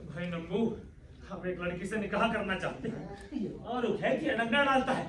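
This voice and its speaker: A man's voice: high-pitched, animated, normal speed, a bit bright. A man playing a miserly, hot-tempered old father in a Hindi stage comedy, blustering and exclaiming theatrically. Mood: gruff, pleased. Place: theatre stage, recorded from afar. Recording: echoey hall, distant mic, noisy.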